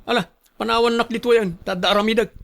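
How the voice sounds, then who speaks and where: A man playing a stubborn, excitable young son in a radio drama, with a whining, eager way of arguing. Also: very high voice, quick pace, creaky, heavily acted. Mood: excited, impatient. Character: stubborn, excitable grown son dreaming of riches. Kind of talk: Ilocano radio drama acting.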